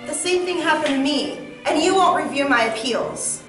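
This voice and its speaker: A woman's voice: high-pitched, lively intonation, normal speed. A woman playing an angry, demanding small video creator protesting in a comedy sketch. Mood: indignant. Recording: echoey room, clear music behind.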